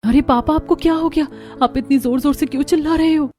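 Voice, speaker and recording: A woman's voice: sits high, steady tone, a little fast, dark tone, close to an everyday voice. A woman playing an earnest young village daughter, innocent and pleading, in a moral story. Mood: alarmed.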